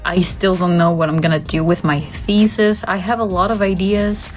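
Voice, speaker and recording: A woman's voice: lowish voice, level tone, moderate pace. A woman, chatting casually to camera as a vlogger, wandering off on tangents with frequent laughs and casual swearing. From Costa Rica. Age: 20s. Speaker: art student and content creator. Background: faint background music.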